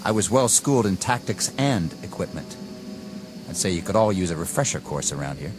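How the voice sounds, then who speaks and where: A contemptuous army lieutenant who talks down to his men. His voice sits low, and the orders come out harsh and scornful. A loud dramatic score runs under the scene. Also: very animated, a little fast, over music. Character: arrogant gung-ho young male army lieutenant. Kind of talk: 1960s TV drama acting.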